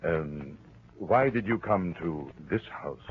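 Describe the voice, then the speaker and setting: A muffled, thin vintage radio recording of a low, husky American man, a suspected killer in a crime drama. He is patronizing and falsely caring, halting yet insistent, with a pitch that swings widely. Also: expressive, heavily acted. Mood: falsely solicitous, insistent. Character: smooth, evasive husband, suspected wife killer, falsely solicitous. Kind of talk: 1940s radio mystery acting.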